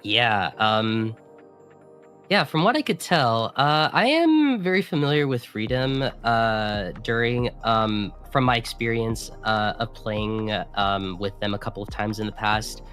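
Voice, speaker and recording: A man with a raspy voice, hoarse from a cold, commentates a video game tournament. He talks in a hesitant, halting way, full of fillers and restarts. Faint game music plays behind him. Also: on the low side, expressive, a bit bright. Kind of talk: esports commentary.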